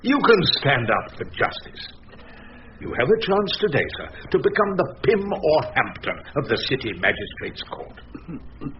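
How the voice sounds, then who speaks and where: An actor playing a defiant, witty older English barrister in a radio drama, droll and pompously eloquent. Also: male voice, on the high side, big pitch swings, measured pace, muffled recording, slight background noise. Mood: defiant, oratorical.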